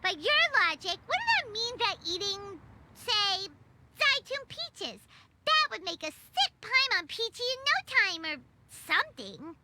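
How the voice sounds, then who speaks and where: Squeaky pint-sized game sidekick, lively swoops, noisy track. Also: female voice, exaggerated voice. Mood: indignant. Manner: squeaky, indignant, quick. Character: small floating female companion, chirpy. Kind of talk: game voice acting.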